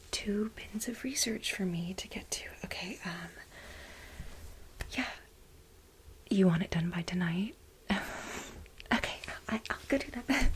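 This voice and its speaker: A woman playing a fawning, gossipy office worker in a soft-spoken ASMR role play, breathy and hesitant. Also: medium pitch, slight background noise, natural voice. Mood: grateful, flustered. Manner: hesitant, halting, nervous giggles, ingratiating. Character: fawning, gossipy female office employee sweet on her boss.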